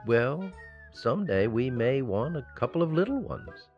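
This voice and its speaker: A man's voice: mid-range voice, big pitch swings, moderate pace, lo-fi sound, faint music behind. A man playing a mild-mannered, distracted husband in an old-time radio sitcom, hesitant and absent-minded. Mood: sheepish.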